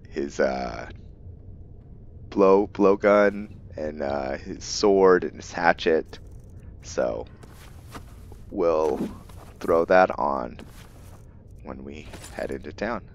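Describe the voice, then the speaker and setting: A man giving casual gameplay commentary on his roleplay playthrough, musing aloud in a halting way. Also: rumbling low. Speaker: gamer and streamer narrating his roleplay playthrough. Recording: muffled audio with faint background noise.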